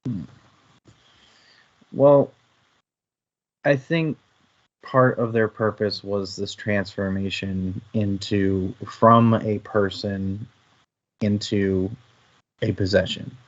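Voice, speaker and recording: A man's voice: on the low side, fairly even intonation, slow pace, pauses often, highs cut off. A man talking casually and thoughtfully as a panelist in a book discussion, analysing as he goes.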